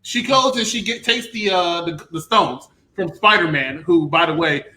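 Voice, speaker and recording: A man hosting an animated review show, ranting with theatrical emphasis and repeating himself for effect. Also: fairly high pitch, moderate pace, rough recording. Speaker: host.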